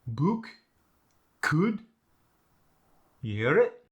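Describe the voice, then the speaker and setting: A man's voice: sits high, very animated, a little slow. A man playing a boastful Scottish dialect master, demonstrating sounds in an emphatic, swaggering manner for a comic lesson. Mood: instructive, cocky.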